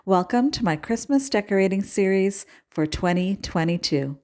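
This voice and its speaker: A woman's voice: medium pitch, lively intonation, moderate pace, darkish tone, roomy sound. A woman with a stuffed-up nose from a cold, chatting enthusiastically as she narrates her home decorating. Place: home kitchen.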